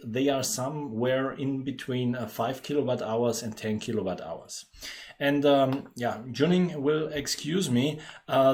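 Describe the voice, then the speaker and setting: Austrian-accented man presenting, medium pitch. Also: a little mellow. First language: German. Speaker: webinar host, company presenter. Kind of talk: webinar presentation.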